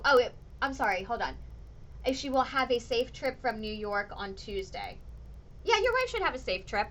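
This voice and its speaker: Chatty, bright-voiced woman meteorologist, slightly hoarse. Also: on the high side. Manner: animated, ranting, chatty with viewers. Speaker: chief meteorologist hosting a weather show. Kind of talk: casual livestream chat answering viewers.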